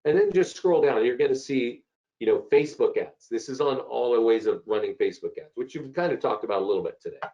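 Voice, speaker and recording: A man with a hoarse voice from a cold, hosting a live software webinar and chatting through questions from viewers. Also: mid-range voice, lively intonation, fast talker. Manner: chatty, repeats himself, answers chat questions. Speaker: webinar host. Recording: dull lo-fi call audio.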